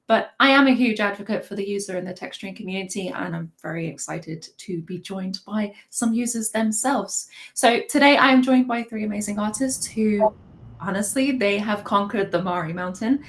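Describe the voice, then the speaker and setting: A woman's voice: mid-range voice, normal speed. Woman hosting a live stream panel. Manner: friendly, self-deprecating. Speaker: host, product manager. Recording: faint background noise.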